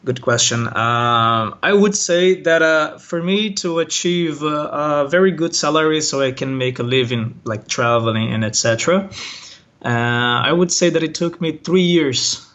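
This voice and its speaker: A man's voice: fairly high pitch, measured pace, fairly bright tone, highs cut off. A Brazilian man whose first language is Portuguese, an online language teacher answering interview questions in a hesitant way, with many fillers and restarts, over a video call.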